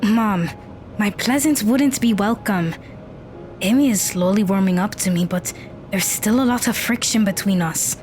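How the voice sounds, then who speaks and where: A woman's voice: medium pitch, a little slow, darkish tone, slight background noise, close to an everyday voice. A woman playing a guarded, blunt teenage daughter in an audio drama. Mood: guarded, dismissive.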